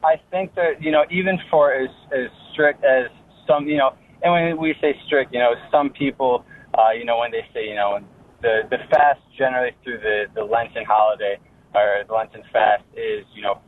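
A man calling in to a radio show, whose first language is Greek, talking haltingly with many fillers and restarts. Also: mid-range voice. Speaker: caller. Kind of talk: phone-in call. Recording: tinny phone line.

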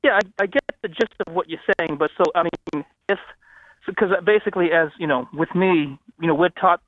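A fast-talking man on a thin, phone-like line, somewhat high in pitch. He calls in with a question and keeps stopping and restarting, and the sound is dry and boxed in. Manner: hesitant, many restarts. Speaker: caller. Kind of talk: call-in radio question.

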